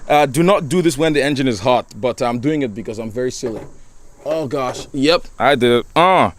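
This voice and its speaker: Young man, college student, casual car how-to vlog, goofy, laughs a lot, rambling, middle pitch, outdoors by his car. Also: normal speed. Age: young adult. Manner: chatty and goofy, laughs often, rambling restarts. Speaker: car vlogger.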